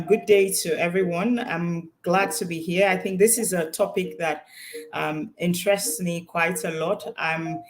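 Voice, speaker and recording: A woman's voice: on the low side, slight background noise. A woman in HR introducing herself on a video call panel, hesitant, with many fillers. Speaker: HR professional, panelist.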